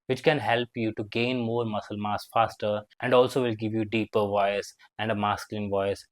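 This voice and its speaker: Lower-voiced young man telling a rambling story. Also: flat delivery, moderate pace, no echo. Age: 20s. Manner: rambling, filler-heavy, with frequent "like" and non-native grammar slips. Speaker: fitness vlogger. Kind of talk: casual storytelling to camera.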